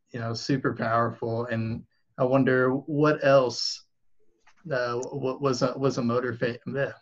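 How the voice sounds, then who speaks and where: A man's voice: mid-range voice, muffled recording. A man co-hosting an interview, asking rambling questions full of restarts and you-knows. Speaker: podcast co-host.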